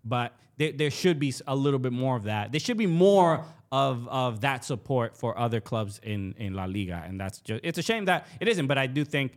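A man co-hosting a casual soccer podcast, speaking in a halting way with many restarts and fillers. He is a Spanish speaker. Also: mid-range voice, animated. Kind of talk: casual chat.

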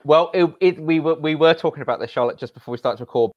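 A loud-voiced man in his forties, a sports journalist from Northeast England, tells a story with animated energy. His voice leaps up when he gets excited. The sound is dry and a little dull, close to the mic. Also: on the high side, quick pace, no echo. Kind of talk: casual podcast chat.

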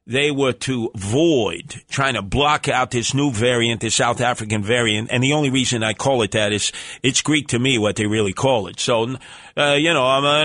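A man's voice: a bit bright, dry recording, studio quality. A man hosting a talk radio show, brash and mocking, bantering with callers. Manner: brash, mocking, theatrical swings in pitch. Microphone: studio microphone.

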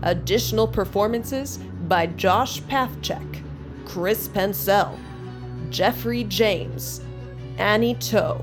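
A woman announcer reading scripted credits in a measured, orderly way. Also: medium pitch. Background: music.

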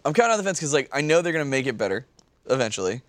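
Male podcast co-host, lively melodic delivery, blunt casual chat, dry recording. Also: mid-range voice, racing, no room sound. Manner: blunt, sweary.

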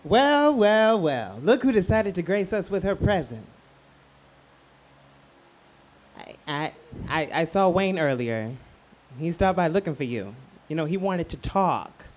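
A man's voice: high-pitched, very animated, measured pace. A male actor playing a fierce, fabulous queer radio producer in a radio play, with a sassy, campy, scolding manner. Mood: sassy, needling.

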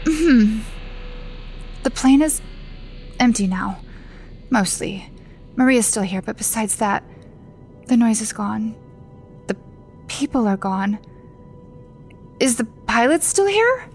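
An actress playing a sleepless, uneasy woman investigator in a naturalistic audio drama, speaking haltingly. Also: lively intonation, soft background music. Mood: uneasy, bewildered. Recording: loud rumbling airplane cabin noise.

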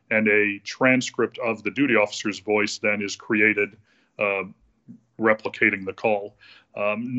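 Through slightly muffled, band-limited audio, an American man gives explanatory interview answers. His voice is fairly low and very even, and he lays things out methodically with frequent hesitations, like a former official recalling procedure.

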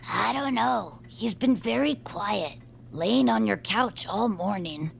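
A woman's voice: mid-range voice, animated, slow pace, gravelly, slight background noise, exaggerated voice. A woman playing an eager, plucky young fan with big schemes in a comedy audio drama, earnest and persuasive. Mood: obliging. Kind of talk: audio fiction comedy acting.

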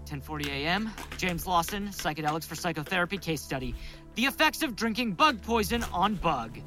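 High, bright-voiced paranoid male experimenter. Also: big pitch swings, brisk, faint music behind, full character voice. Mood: clinical, resigned. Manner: agitated, muttering, sardonic. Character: paranoid, unravelling man recording his psychedelic self-experiment. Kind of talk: audio drama monologue, spoken case-study log.

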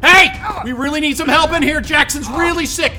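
An actor playing an excitable, plain-spoken job seeker with a blunt, casual, sweary manner in an audio drama. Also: male voice, extremely high pitch, brisk. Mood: panicked, frantic. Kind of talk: naturalistic audio drama acting.

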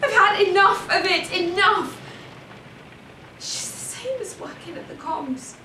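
Actress as an overwrought woman at the end of her rope, high voice, echoey room, audio play. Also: lively intonation, measured pace. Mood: anguished. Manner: anguished, repetitive, breaking phrases. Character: despairing, overwrought woman worn out by work. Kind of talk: audio drama acting. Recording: echoey, distant room with faint noise.

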